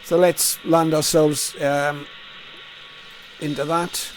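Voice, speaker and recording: A man's voice: fairly high pitch, level tone, slow pace, slight background noise, low-quality recording. A man giving casual, unscripted commentary as he tunes a radio, thinking aloud in short remarks. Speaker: radio hobbyist host. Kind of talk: casual screen-recorded tuning commentary. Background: radio music and signals from the receiver.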